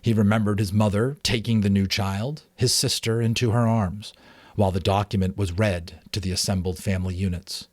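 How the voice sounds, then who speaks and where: A man's voice: on the low side, fairly even intonation, normal speed, no room sound. A man reading a story aloud in an informal, dramatic way, sometimes stumbling and correcting himself. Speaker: amateur audiobook reader.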